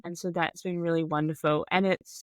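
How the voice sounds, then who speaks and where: A woman's voice: fairly low pitch, little pitch movement, brisk, no echo, studio quality. Young New Zealand woman, chatty guest. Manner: open and rambling, many fillers like "like". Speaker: podcast guest. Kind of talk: casual conversational chat.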